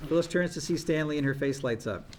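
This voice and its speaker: A man's voice: on the high side, fast. Man reading stage directions, noisy room. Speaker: reader of stage directions at a script table read.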